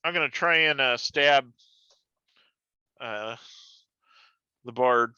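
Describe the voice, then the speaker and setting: A man with a sharp, forceful, very clear voice talks through his tabletop game turns. He takes his time in casual chat. The recording is dry and a little band-limited. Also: mid-range voice, slow, no room sound, dull audio.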